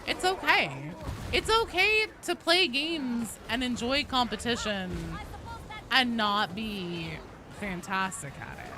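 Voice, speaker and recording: A woman streams a game with a fairly high, very animated voice. She chats casually with viewers and comments on play in a blunt, sweary way with dramatic emphasis. Clear background noise from the game sits under her voice. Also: takes it slowly. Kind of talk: casual live gameplay commentary, chatting with viewers.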